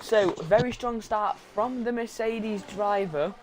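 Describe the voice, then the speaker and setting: A hoarse man with a sore throat giving live sim racing commentary, blunt and joking with his co-host, clearing his throat now and then. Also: high voice, takes it slowly, background noise.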